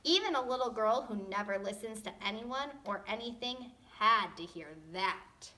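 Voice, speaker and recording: A woman reading a storybook aloud to children in an expressive, playful, tongue-in-cheek way. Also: fairly high pitch, normal speed.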